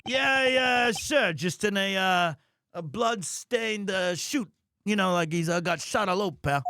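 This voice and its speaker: A man's voice: sits high, measured pace, noisy background. A man voicing a bumbling, eager, loyal police detective in a video game, with a hesitant, folksy, stammering way of talking. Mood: awkward. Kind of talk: video game dub played live.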